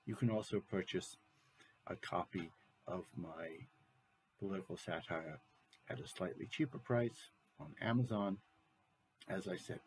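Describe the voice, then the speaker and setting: A man in his sixties giving a measured, halting promotional announcement and reading to camera as an author, recorded on a webcam mic in a room at home. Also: lowish voice, a little mellow, slight background noise, poor audio. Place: small room at home, indoors. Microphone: computer webcam microphone at desk distance.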